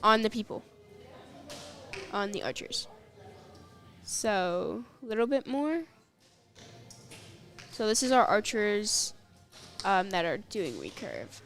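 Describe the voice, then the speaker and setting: A woman's voice: mid-range voice, very animated, takes it slowly, long pauses, soft background music. A teenage girl with an American accent hosting a casual live sports broadcast, informally giving camera directions as she talks.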